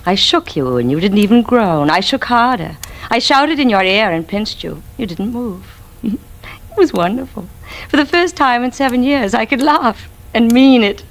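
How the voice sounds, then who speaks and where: A woman's voice: fairly high pitch, animated, normal speed. An actress playing a bitter, scheming wife who confides her crime in a vintage radio drama. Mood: cold, gloating. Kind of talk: 1950s radio drama acting, intimate confessional narration. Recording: vintage radio recording with music underneath.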